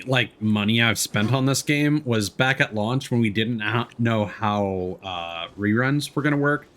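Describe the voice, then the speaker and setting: A man doing casual, chatty live game commentary, quick to react out loud. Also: lowish voice. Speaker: game livestreamer, host.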